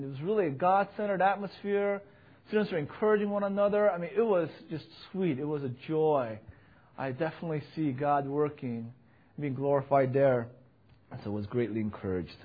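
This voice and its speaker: Young man, pastor, loud fervent sermon delivery, slightly high pitch, dull recording. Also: breathy edge. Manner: earnest, emphatic, rising to fervent peaks. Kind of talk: sermon with personal report.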